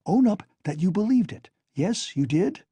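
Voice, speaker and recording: A man's voice: high-pitched, very animated, normal speed, dark tone, husky, no echo, voice pushed up, exaggerated voice. A man reading an audiobook, voicing a feverish, bitter young male student who taunts with venom. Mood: taunting.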